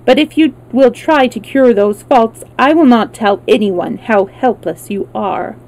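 A blunt mechanical man from a children's storybook, read by a woman. He speaks in a measured, matter-of-fact way without emotion, in a mellow tone. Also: fairly high pitch, rough recording. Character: unemotional, blunt, male mechanical man, wound-up machine.

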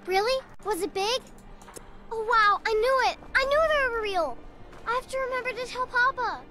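A woman's voice: extremely high pitch, normal speed, over music. A woman playing a chatty, innocent little village girl in a fantasy video game, earnest and childlike. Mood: excited. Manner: wide-eyed, childlike, earnest.

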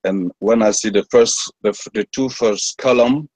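A man's voice: fairly low pitch, moderate pace, darkish tone, no echo. A man with a French accent, whose first language is French, hesitantly describing hieroglyphs in an online class, searching for words with many restarts. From France. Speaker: class member.

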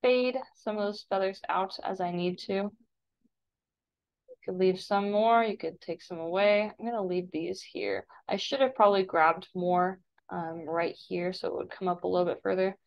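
A woman with a creaky voice, an art teacher casually explaining software step by step in a tutorial voice-over. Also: mid-range voice, steady tone, moderate pace. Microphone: screen-recording voice-over. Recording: dull, lo-fi voice-over.

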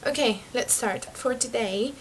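A young woman from Venezuela, chatty and excitable, talking to her webcam about books in a small bedroom. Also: fairly high pitch, unhurried, poor audio. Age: young adult. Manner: chatty and excitable, laughs at her own slips. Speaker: book vlogger. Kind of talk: casual chat to camera. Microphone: webcam mic.

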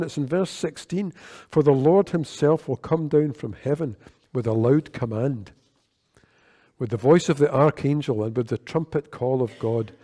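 A man, preaching an earnest, measured sermon at a church lectern microphone. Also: mid-range voice, dark tone. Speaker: worship leader. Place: church sanctuary. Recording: long church reverb.

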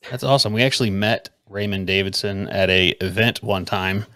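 Low-voiced man hosting a chat. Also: a little fast, fairly bright tone, no echo. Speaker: podcast co-host. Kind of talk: casual interview chat.

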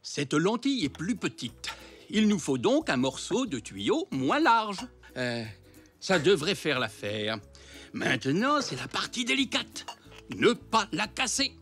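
An actor playing a careful, gentle elderly grandfather zebra who tinkers in a workshop, in a French cartoon dub. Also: high-pitched, very animated, measured pace, faint music behind, heavily acted. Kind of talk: cartoon dubbing.

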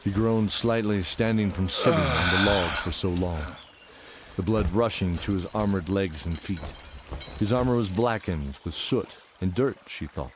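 Deep-voiced man narrating fantasy. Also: normal speed, slight background noise. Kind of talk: dramatic fantasy story narration.

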